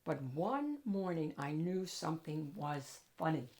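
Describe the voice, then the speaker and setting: A woman reads a children's picture book aloud as host, with expressive, dramatic emphasis. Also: low-pitched, a little slow. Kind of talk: animated storybook narration for children.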